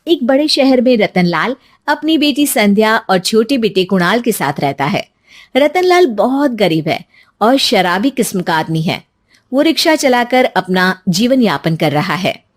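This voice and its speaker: A woman's voice: medium pitch, big pitch swings, a little slow. Woman narrating a cartoon story.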